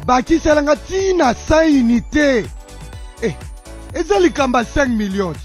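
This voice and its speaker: A man acting an excited buyer in a comic ad skit. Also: very high-pitched, unhurried. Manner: excited, exclaiming. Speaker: ad performer. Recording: music behind.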